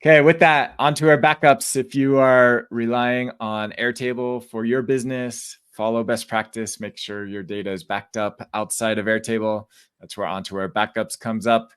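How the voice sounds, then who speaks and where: A man hosting a live podcast over a video call, reading a sponsor spot in a brisk, upbeat way. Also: medium pitch, steady tone, a bit bright, smooth, no room sound, studio quality. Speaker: podcast host.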